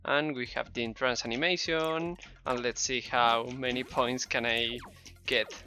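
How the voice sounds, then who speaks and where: Bright-voiced Spanish-accented tutorial man. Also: mid-range voice, steady tone, a little slow, faint music behind. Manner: friendly, step-by-step, encouraging. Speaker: game development instructor. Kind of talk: screen-recorded tutorial narration. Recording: dull, slightly lo-fi close mic.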